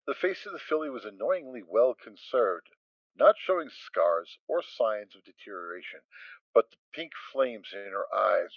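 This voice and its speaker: A man's voice: fairly low pitch, dry recording, highs cut off. A man narrating a dramatic story as a scripted reading.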